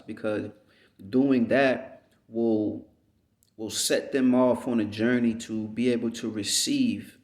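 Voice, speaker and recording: A man from the United States giving an unhurried, rambling reflective monologue as a vlogger, often checking in with "you know what I mean.". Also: fairly low pitch, a little mellow. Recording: roomy echo.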